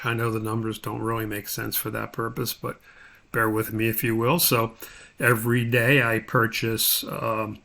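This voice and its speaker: Halting male instructor walking through steps. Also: mid-range voice, a bit bright. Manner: halting, thinking aloud while working. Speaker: instructor giving a tutorial. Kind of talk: step-by-step spreadsheet tutorial, unscripted.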